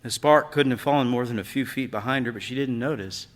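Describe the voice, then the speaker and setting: A man reading a story aloud, voicing a patient, grieving widowed father in a gentle conversational way. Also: medium pitch, fast talker, natural voice. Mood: explaining. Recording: long hall reverb of a stage.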